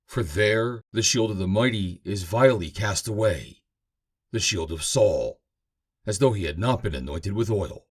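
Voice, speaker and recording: A man's voice: lowish voice, expressive, unhurried. A man giving a solemn, theatrical, emphatic reading of scripture as a narrator. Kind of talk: dramatic scripted reading of King James Bible.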